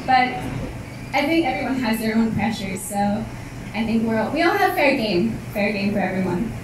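A young woman with a slightly high voice gives thoughtful answers to the press. She sounds set back from the recorder, and the hall throws a heavy echo around her words, over steady room noise. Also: moderate pace, far from the mic. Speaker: pageant candidate. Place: large event hall indoors. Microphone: handheld mic. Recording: echoey hall heard from afar.

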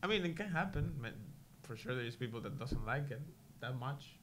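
A man's voice: mid-range voice, lively intonation, moderate pace. A man in his twenties chatting casually and hesitantly, with non-native phrasing. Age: 20s. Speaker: podcast guest. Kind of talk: casual chat. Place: meeting room indoors. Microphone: shared table microphone. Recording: noisy room ambience.

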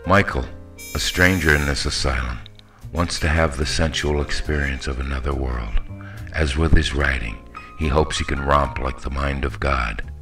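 A man's voice: extremely low pitch, steady tone, normal speed, music in the background. A man reading a literary story aloud as an audiobook narrator, in scripted narration. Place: voice-over with music behind.

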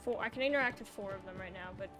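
A woman's voice: medium pitch, very fast, over music. A young woman chatting casually as she plays a co-op puzzle game, thinking aloud in a hesitant, descriptive way. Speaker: gamer playing a co-op puzzle game. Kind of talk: casual gameplay chat.

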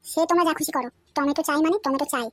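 A woman's voice: sits high, very fast, no echo, natural voice. A woman voicing a nagging, short-tempered housewife in a comic cartoon, scolding and insisting. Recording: noisy homemade recording.

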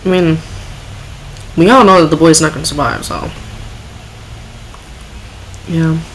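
A woman's voice: deep voice, unhurried, a little hiss or hum, rough recording. A woman giving casual, offhand reaction commentary and reading text aloud as a video host.

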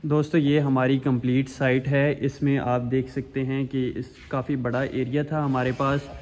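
A man's voice: mid-range voice, level tone, normal speed, a little mellow, a little hiss or hum. A young man hosting a technical walkthrough, explaining a security installation step by step in a large empty indoor building, with frequent hesitation fillers. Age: young adult. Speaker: security installer and channel host. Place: large empty mall interior under construction, indoors. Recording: roomy echo from far off, music behind.

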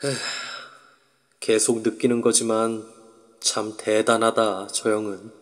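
A man's voice: fairly low pitch, flat delivery, slow pace. A man narrating as a wry, musing young man in first-person inner monologue. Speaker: narrator and lead.

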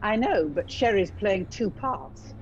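A woman's voice: mid-range voice, expressive, slow, a little hiss or hum. A woman playing a mean, mocking pantomime villainess, arch and theatrical, on slightly dull video-call audio. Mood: bossy, arch.

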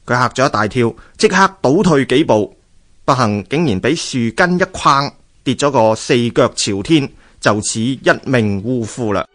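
Male radio drama narrator, medium pitch, Hong Kong Cantonese, scripted, expressive storytelling. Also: normal speed.

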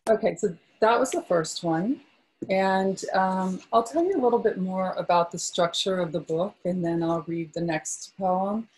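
A woman poet reads aloud in a slow, soft-spoken way, chatting casually between poems. Her voice is dark and mellow, a little husky, and sits slightly low. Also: poor audio. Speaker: poet at a book launch. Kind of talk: poetry reading with casual asides.

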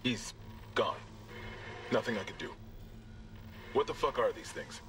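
An actor playing a commanding, pragmatic security officer on a video call in a sci-fi game. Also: male voice, on the low side, lively intonation, measured pace, background noise. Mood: shaken. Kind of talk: naturalistic video game acting. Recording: slightly distant video call.

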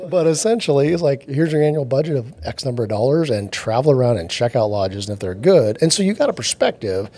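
A man's voice: mid-range voice, lively intonation, quick pace, hardly any pauses, dark tone. A man in his late forties telling stories casually as a podcast guest, acting out bits of dialogue. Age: late 40s. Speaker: podcast guest, outdoor company executive.

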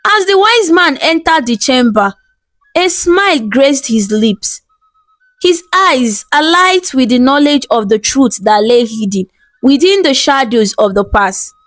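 A woman telling a scripted folktale as narrator, in a measured, dramatic storybook delivery. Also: high voice, animated, a bit bright, no echo, rough recording. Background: background music.

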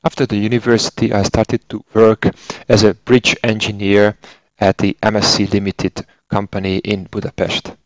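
A man in his forties with a Hungarian accent, narrating an instructional course in a methodical, explanatory way as a software trainer. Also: fairly low pitch, flat delivery, normal speed, dry recording, poor audio. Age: 40s. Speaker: software trainer and consultant engineer.

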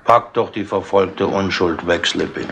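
An actor playing a shrewd, patronising older London chief inspector in a wry, colloquial way, in 1960s radio drama style. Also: male voice, sits low, measured pace, gravelly, fairly bright tone, heavily acted. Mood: wry, businesslike. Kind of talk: 1960s German radio crime drama acting. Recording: noisy 1960s radio tape with clicks.

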